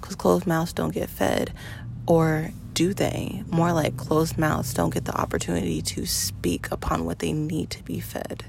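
A woman in her twenties hosting a podcast, talking in an earnest, reflective monologue with slow pauses and fillers. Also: low-pitched, vocal fry, noisy background. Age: 20s. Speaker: podcast host.

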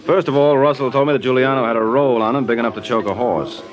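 An actor playing a suave, wisecracking private investigator in 1940s radio detective drama, with breezy, slangy tough talk. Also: male voice, mid-range voice, fast talker, highs cut off, over music, close to an everyday voice. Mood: breezy, amused.

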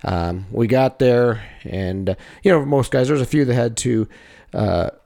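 A man, a wrestling coach, talking over the season in a casual, halting way, full of fillers and restarts. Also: mid-range voice, creaky, dark tone. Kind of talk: casual chat.

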